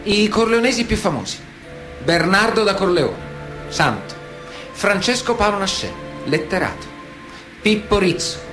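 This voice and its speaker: An actor playing a stern, ironic chronicler, narrating a radio drama in clipped phrases with dramatic pauses. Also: male voice, sits high, animated, music in the background. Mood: grave, declamatory.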